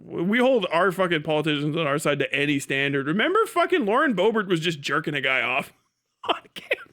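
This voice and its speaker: A man with a high, bright voice delivers off-the-cuff political commentary as a stream host. He is sarcastic and swears freely, slipping into imagined back-and-forth exchanges as he goes. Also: very animated, fairly quick. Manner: sarcastic, acts out imagined conversations in different voices. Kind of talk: casual profane political commentary.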